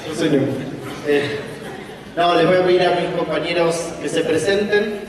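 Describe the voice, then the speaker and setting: A man moderating a conference panel in a relaxed, playful way. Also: fairly high pitch, moderate pace, far from the mic. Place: large conference hall. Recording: echoey hall, voice from afar.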